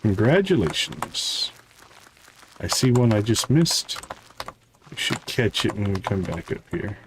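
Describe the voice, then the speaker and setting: A man's voice: on the low side, sing-song, a little slow, slight background noise, poor audio. A man giving casual live game commentary as a streamer, thinking aloud and chatting to viewers.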